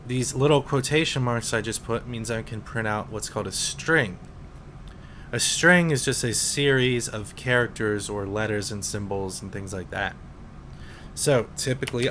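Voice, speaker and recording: A man in his twenties, a teacher, calmly explaining programming in a casual screen-recorded tutorial with some fillers. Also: lowish voice, a little hiss or hum. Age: 20s. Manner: slow, relaxed explaining with fillers.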